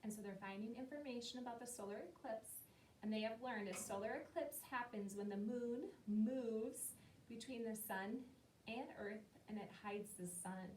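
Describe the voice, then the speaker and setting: A woman teacher explaining key words to young students, slowly and patiently, and asking them questions. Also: a little mellow. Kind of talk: classroom lesson talk to young students. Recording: roomy and distant, faint rumble.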